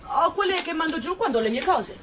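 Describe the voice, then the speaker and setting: A woman's voice: extremely high pitch, expressive, fast talker, a bit bright, slight background noise. An actress playing a motherly, fussing woman who runs a trattoria in a radio drama. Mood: fussing, attentive. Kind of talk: lively radio drama acting.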